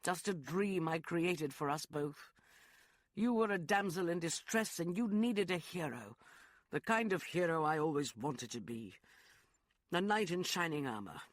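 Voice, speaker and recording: A man voicing a remorseful young gentleman in an audiobook, soft, hesitant and apologetic. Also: a little high, expressive, a little slow, dry recording, clean studio sound, pitched above the performer's own voice. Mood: sad. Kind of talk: audiobook character voice.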